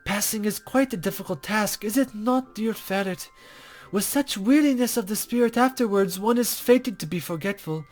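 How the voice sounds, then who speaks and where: Guy doing a mystical lady guide, high voice, cryptic n serene, kinda condescending, visual novel stuff, music behind. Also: lightly acted. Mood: gently reassuring. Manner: measured, knowing, gently condescending, formal. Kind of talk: character reading from a visual novel.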